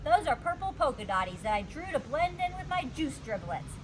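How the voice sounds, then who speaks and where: A woman's voice: high-pitched, big pitch swings, normal speed, a little hiss or hum, voice pushed up. A woman voicing a dramatic, indignant little schoolgirl in a storybook, with emphatic childish phrasing.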